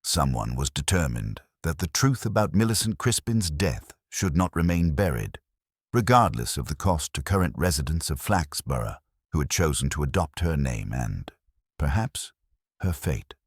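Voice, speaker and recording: A man narrating a scripted mystery story in a measured, formal, literary manner. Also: a bass voice, sing-song, breathy edge, no echo, clean studio sound.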